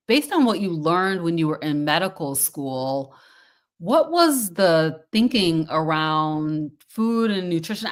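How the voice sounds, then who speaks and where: An older woman hosting an interview show, chatty and enthusiastic, rambling with fillers. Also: mid-range voice. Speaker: podcast host. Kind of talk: casual interview.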